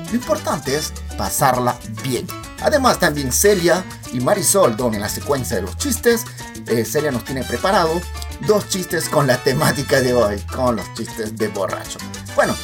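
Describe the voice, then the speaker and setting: A man hosting a casual interview for language learners, chatty and friendly, often repeating words as he goes. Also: mid-range voice. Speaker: podcast host.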